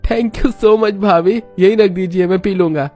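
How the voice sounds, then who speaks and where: Guy putting on lazy cheeky boy in a cartoon, way high voice, music behind. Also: unhurried, mellow. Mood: breezy. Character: lazy, cheerful young brother-in-law, boyish cartoon character.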